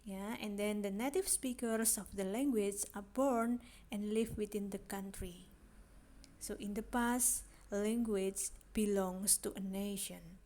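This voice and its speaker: Indonesian lady teacher, slow mellow delivery, lots of yeah and right?. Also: mid-range voice, fairly even intonation. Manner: unhurried, repeats points, asks rhetorical questions with frequent "yeah". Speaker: language lecturer. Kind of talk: recorded online lecture. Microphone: screen recording on a phone.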